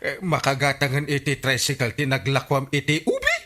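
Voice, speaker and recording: An actor playing an excitable, easily flustered village captain in a radio comedy drama, stammering and exclaiming. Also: male voice, medium pitch, normal speed.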